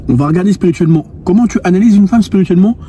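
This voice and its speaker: A man's voice: high-pitched, rapid-fire, warm tone, a little hiss or hum. A man in his twenties, a coach speaking earnestly to the viewer about spirituality and women, telling an anecdote with many restarts. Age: 20s. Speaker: dating and lifestyle coach. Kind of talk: monologue addressed to the viewer.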